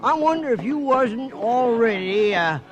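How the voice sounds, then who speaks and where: An actor playing a meek, chatty cowhand sidekick in a radio western, folksy and eager to chime in. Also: male voice, very high voice, lively intonation, unhurried, highs cut off, over music. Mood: musing. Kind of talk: 1950s radio western acting. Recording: vintage radio drama recording.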